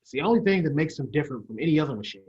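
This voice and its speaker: Guy doing proud old professor in a radio play, bit high, dull lo-fi audio. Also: expressive, very fast, darkish tone, close to an everyday voice. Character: proud older male professor and inventor. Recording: dull lo-fi sound.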